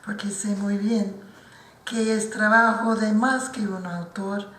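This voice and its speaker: A non-native older woman giving formal comments at a book presentation, speaking slowly and carefully and often restarting her words. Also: mid-range voice, steady tone, fairly bright tone. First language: not Spanish. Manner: slow and careful, with frequent restarts. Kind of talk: formal talk at a book launch. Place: lecture hall at an institute. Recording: roomy hall picked up from a distance.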